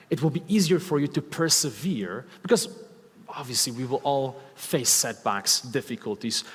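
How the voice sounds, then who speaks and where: A man in his thirties with a Polish accent speaks from a stage to a live crowd, upbeat and coaxing, throwing questions to the audience. His voice sits at an ordinary male pitch, and the big hall adds a long reverb tail. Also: fairly bright tone. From Poland. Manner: enthusiastic, asks the audience questions, repeats key words. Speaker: speaker giving a talk on stage.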